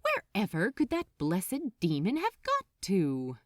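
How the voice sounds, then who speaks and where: A woman plays a fussy gentleman angel in a fantasy audiobook. He is prim, anxious and terribly polite, with swooping, animated intonation. The recording is dry and intimate. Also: medium pitch, takes it slowly, no room sound. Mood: worried musing. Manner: flustered, prim, old-fashioned politeness.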